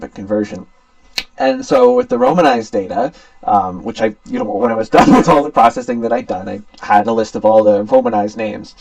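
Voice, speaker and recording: Young guy doing a student slideshow talk, gravelly fry, bit far from the mic. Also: mid-range voice, a little mellow. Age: young adult. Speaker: student presenter. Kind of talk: academic slide presentation.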